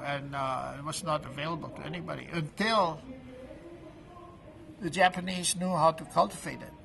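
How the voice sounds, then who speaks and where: An older man in his late seventies, his voice on the high side for a man, with a gravelly edge and a bright, forceful tone. He speaks haltingly, breaking off and restarting words and filling pauses with "uh" and "you know." This is an informal testimonial recorded at a trade event. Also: a little hiss or hum, poor audio. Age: 70s. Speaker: product promoter at an event booth.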